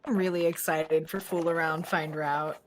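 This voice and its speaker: A woman's voice: low voice, fairly even intonation, moderate pace, a crowd in the background. A woman co-commentating on a stream in a casual, playful and enthusiastic way.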